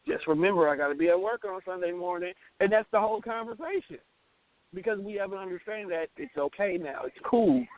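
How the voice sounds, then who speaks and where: A man's voice: high-pitched, brisk. A man chatting casually as a talk show co-host, slangy and emphatic, repeating words to make his point. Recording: tinny phone line with hiss and noise.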